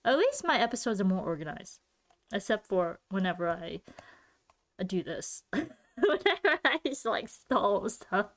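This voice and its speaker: A woman's voice: medium pitch, big pitch swings, a little slow. A woman hosting her own podcast alone, talking casually and thinking aloud with a giggly, loopy manner. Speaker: podcast host and streamer. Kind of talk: casual rambling solo podcast talk.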